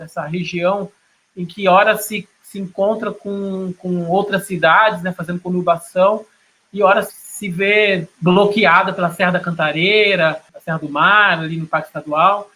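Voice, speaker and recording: A man's voice: high voice, pushed and bright, low-quality recording. A middle-aged man with a São Paulo state Brazilian accent, a photographer talking reflectively about his own work.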